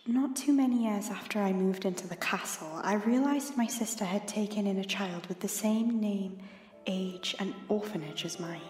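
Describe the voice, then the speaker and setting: A young woman playing an earnest princess who confides a secret, reading a letter aloud in a measured, sometimes breathy way for an audio story. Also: medium pitch, a little mellow, natural voice. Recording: echoey, long reverb, hobby audio drama.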